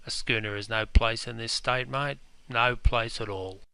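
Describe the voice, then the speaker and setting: A man with an Australian accent playing a gruff, pedantic pub owner who scolds customers in broad slang, in a scripted comedy dialogue. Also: medium pitch, fairly even intonation, measured pace, pushed and bright, no room sound. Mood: scolding. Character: gruff, pedantic Aussie publican, quick to correct. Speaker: publican character.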